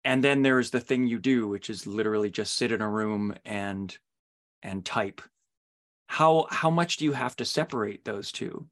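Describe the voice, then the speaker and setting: A man's voice: on the low side, moderate pace. A middle-aged man hosting a podcast interview in a conversational style.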